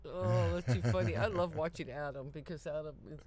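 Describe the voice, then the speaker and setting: A woman's voice: low-pitched, lively intonation, fairly quick, a little mellow. A woman co-hosting a livestream, chatting casually and greeting viewers in an informal way. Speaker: livestream co-host.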